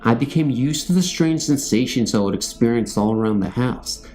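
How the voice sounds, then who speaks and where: A man narrates true ghost stories in a dark, mellow voice at a medium pitch. His delivery is scripted first-person storytelling, with faint music underneath. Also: animated, moderate pace.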